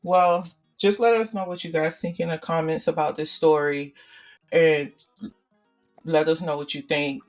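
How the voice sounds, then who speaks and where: A woman with a deep voice, co-hosting a casual podcast chat and pressing her points with repetition. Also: fairly even intonation, a little slow, far from the mic, soft background music. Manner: opinionated, repeats points. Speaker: podcast co-host.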